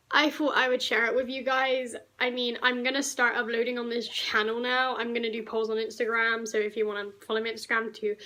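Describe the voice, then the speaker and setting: A teenage girl from the UK, slightly croaky from a cough, telling a rambling, indignant storytime vlog. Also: on the high side, pushed and bright. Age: teens. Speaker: vlogger.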